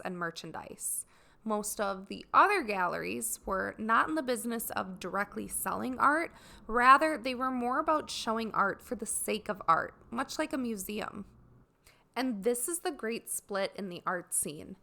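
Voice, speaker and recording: A woman hosts a solo podcast for artists, her voice raspy and dry from a recent cold. Her tone is bright and fairly high, with a gravelly edge, and she explains things in an earnest, steady way. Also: normal speed. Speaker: podcast host.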